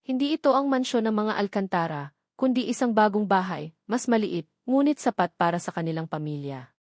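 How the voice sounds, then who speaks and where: A woman's voice: mid-range voice, lively intonation, fairly quick, a little mellow, no echo, studio quality. A woman reading a scripted, dramatic narration of a romance story.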